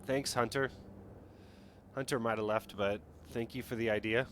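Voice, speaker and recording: A man's voice: mid-range voice, steady tone, normal speed, fairly bright tone, a little hiss or hum. A man streaming a strategy game live, talking casually and thinking aloud as he plays. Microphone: headset.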